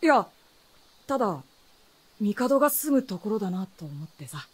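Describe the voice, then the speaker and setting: A woman's voice: medium pitch, big pitch swings, unhurried, slightly rough. A woman playing a guarded, knowing adolescent boy in a Japanese fantasy radio drama, speaking in a boyish, matter-of-fact way. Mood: guarded, casual.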